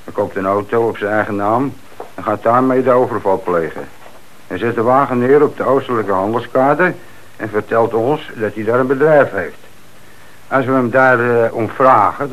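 Man playing a sceptical, mid-pitched police detective. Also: a bit bright, natural voice, poor audio. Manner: musing, sceptical. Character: thoughtful, doubtful male police detective, deferring to his chief. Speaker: actor in a radio crime play. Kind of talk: Dutch radio play dialogue.

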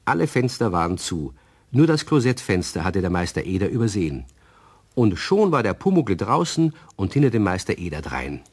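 A man narrating a children's radio play as an expressive, husky-voiced storyteller. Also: sits low, moderate pace, full character voice. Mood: storytelling.

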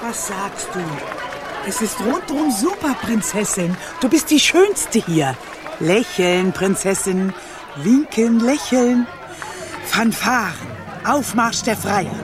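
A woman's voice: mid-range voice, lively intonation, crowd noise. An actress in a radio play voicing a bossy old grandmother, a witchy matriarch who scolds and gives orders. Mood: commanding, coaxing. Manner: commanding, brisk orders, scolding.

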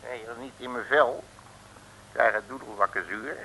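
An actor playing a cocky, blustering detective in an old radio comedy, folksy and full of exclamations. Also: male voice, medium pitch, normal speed, creaky, bright, forceful tone. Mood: cocky grumbling. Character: blustering cocky male detective, colourful curser and brawler. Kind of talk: 1960s radio comedy acting. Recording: crackly old radio recording.

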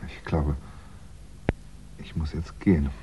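An actor playing a polite, brooding, middle-aged crime writer in a 1960s German radio play, speaking in a measured, dryly understated way. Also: male voice, rumbling low, fairly even intonation, noisy background. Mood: subdued. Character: hunted male crime novelist, polite, brooding, wry. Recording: dull 1960s radio recording.

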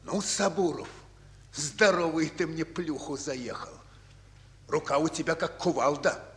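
An actor playing a blunt, good-humored Soviet soldier in a radio play, speaking colloquially. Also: male voice, fairly high pitch, measured pace, a bit bright. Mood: gruff, wry. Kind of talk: Russian radio play acting. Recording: echoing hall-like reverb.

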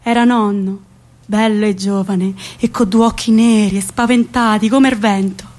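A woman's voice: mid-range voice, steady tone, normal speed, a little mellow, pitched below the performer's own voice, close to an everyday voice. Woman acting folksy Roman-accented grandmother. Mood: hushed recollection. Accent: central Italian, Roman area. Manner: folksy, dialect storytelling. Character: warm old rural Italian grandmother recalling her youth. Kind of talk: theatrical monologue.

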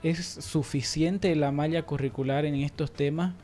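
Casting: young man, lightish high pitch, opinionated casual radio host who stumbles over words. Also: fairly even intonation, measured pace, dark tone. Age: young adult. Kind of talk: informal on-air discussion.

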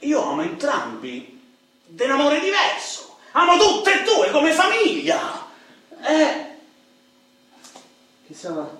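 A man's voice: very high-pitched, takes it slowly, pauses often, full character voice. An actor playing a middle-aged Roman ex-robber, a boastful and lonely braggart telling his story in theatrical, streetwise radio-drama monologue. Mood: tender, sad. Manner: animated streetwise storytelling, swinging from bragging to self-pity. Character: boastful, lonely ex-robber nearing fifty, coke-loving braggart. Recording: echoey stage hall, distant mic, faint noise.

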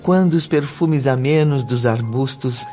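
A man narrating a scripted radio drama in a solemn, literary, measured manner. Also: medium pitch, a little mellow. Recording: muffled radio drama with music bed.

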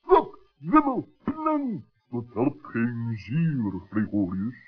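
A man playing a muddled, excitable talking forest animal in a 1960s children's radio play, flustered and jumbling words. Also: mid-range voice, extremely slow, breathy edge, heavily acted. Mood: muddled, insistent. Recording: tinny old radio recording with music.

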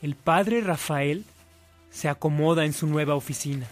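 A man's voice: on the high side, normal speed, faint music behind. A man narrating a scripted radio drama.

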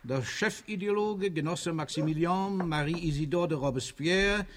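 An actor playing a sardonic, commanding party leader in a radio drama, moving between barked orders and dry muttered remarks. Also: male voice, a little high, normal speed, slight background noise, close to an everyday voice. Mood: official, dictating.

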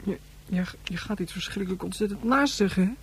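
A performer playing a sulky, blunt young son in a science-fiction radio play, with a husky voice and childish, exaggerated wording. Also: medium pitch, brisk, a little hiss or hum, close to an everyday voice. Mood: anxious, hurt. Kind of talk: Dutch science-fiction radio drama acting.

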